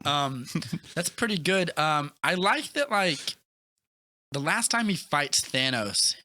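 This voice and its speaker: A man co-hosting a casual movie podcast, retelling scenes in an animated way and acting out quotes as he goes. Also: on the high side, takes it slowly, dry recording. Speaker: podcast co-host.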